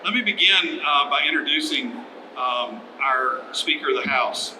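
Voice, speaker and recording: A middle-aged American man hosting a public announcement, speaking in a halting, enthusiastic way. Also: mid-range voice, animated. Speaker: event host, organization leader. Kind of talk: live introduction speech at a public announcement. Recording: echoey room with clear background noise.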